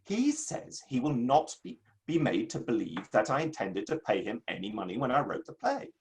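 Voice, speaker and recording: A man's voice: medium pitch, very animated, moderate pace. A man playing an easily affronted Victorian literary man in a play reading, declaiming in grand, formal, old-fashioned phrases. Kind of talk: online dramatic play reading.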